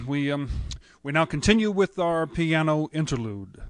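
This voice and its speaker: An actor playing a composed but slightly uncertain studio announcer in a 1930s radio drama, formal and measured. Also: male voice, a little high, lively intonation. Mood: formal, uneasy.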